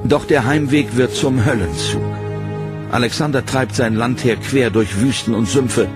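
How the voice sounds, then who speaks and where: A man narrating a history documentary in a measured, solemn scripted voice-over. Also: medium pitch. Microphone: studio voice-over. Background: loud dramatic music.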